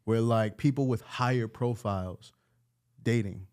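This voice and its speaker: A man hosting a podcast in casual interview chat, quick to back up his guests and ask questions. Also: mid-range voice, a little mellow, clear, resonant tone. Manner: supportive, quick to affirm, asks questions. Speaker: podcast host.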